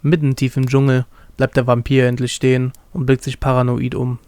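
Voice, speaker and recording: A male narrator reads a comic short story from a script. His voice sits at an ordinary, middle male pitch, recorded very dry with almost no room around it. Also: monotone, a little fast, darkish tone, clean tone, dry recording.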